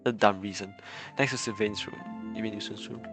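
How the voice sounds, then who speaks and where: Halting, casual male game streamer. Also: lowish voice, steady tone, music in the background. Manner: halting, repeats words, chuckles often. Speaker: live streamer.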